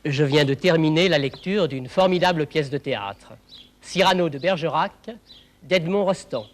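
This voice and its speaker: Male kids' show presenter, fairly high voice, clear didactic explanations. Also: normal speed. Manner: didactic, clearly phrased with rhetorical questions.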